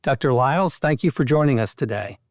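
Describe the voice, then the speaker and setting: A man speaking as a podcast host, asking structured medical questions in a measured, articulate way. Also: medium pitch, animated, a little mellow, dry recording, studio quality.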